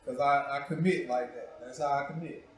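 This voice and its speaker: A man's voice: medium pitch, fairly even intonation, fairly quick. A man hosting a talk show in casual, profane, joking chat, with a playful, teasing manner and a habit of repeating himself. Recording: very echoey room, distant mic.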